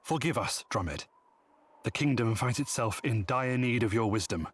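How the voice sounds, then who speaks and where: An actor playing a dignified, resolute prince in a video game, speaking formally and politely. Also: male voice, medium pitch, lively intonation, normal speed, slightly rough. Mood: earnest. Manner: formal, stately. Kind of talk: video game cutscene acting. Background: loud background music.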